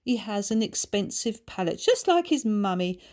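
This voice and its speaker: A woman's voice: medium pitch, sing-song, moderate pace, a little mellow, voice pushed up, close to an everyday voice. A woman voicing a wiry, grand, affectionate lady of the manor, genteel, effusive and used to giving orders, in a storybook. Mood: gracious.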